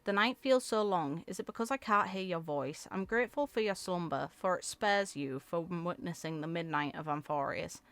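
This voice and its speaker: A woman reading video game story text aloud in an expressive, theatrical way, as a content creator. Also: fairly low pitch, normal speed, few pauses, dry recording. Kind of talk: reading game lore aloud with reaction asides.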